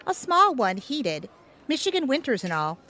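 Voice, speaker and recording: Woman playing a lively, confident gal pal. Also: high-pitched, normal speed, exaggerated voice. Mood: enthusiastic. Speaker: actor in an audio drama. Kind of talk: comedic audio drama acting.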